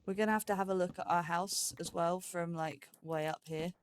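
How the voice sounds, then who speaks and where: A woman's voice: fairly low pitch, fairly even intonation, a little fast, a little hiss or hum. A woman giving casual, rambling gameplay commentary, thinking aloud with a playful, self-chiding manner. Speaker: let's play host.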